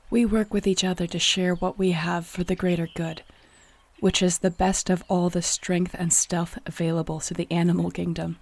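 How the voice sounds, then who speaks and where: A woman performs a resolute wolf shifter heroine in a fantasy audio roleplay. Her voice is thick with fry and fairly flat in pitch, steady and defiant. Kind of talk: audio roleplay drama acting.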